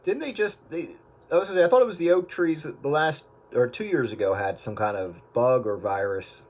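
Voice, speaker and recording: A man chatting casually in a group with a joking, deadpan manner. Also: medium pitch, a little fast. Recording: very loud background noise, radio-like.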